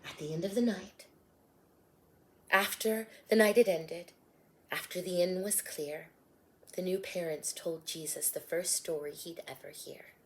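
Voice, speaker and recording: A woman reading her own rhyming storybook aloud in a gentle, soft-spoken way, some words dropping to a hush. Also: fairly low pitch, steady tone, unhurried, slight background noise.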